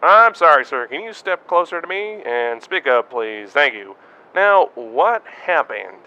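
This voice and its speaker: A man's voice: a little high, measured pace, smooth, exaggerated voice. A man playing an eager field reporter on a tinny line. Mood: excited. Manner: excited, inquisitive reporting. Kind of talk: amateur radio drama acting.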